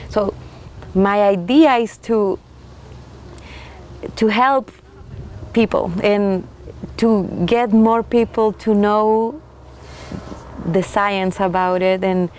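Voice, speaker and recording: Young Chilean woman, slow and halting, laughs softly, faint room noise. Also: medium pitch, steady tone. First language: Spanish. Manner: unhurried, halting, frequent soft laughs. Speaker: winemaker, interviewee. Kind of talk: oral history interview.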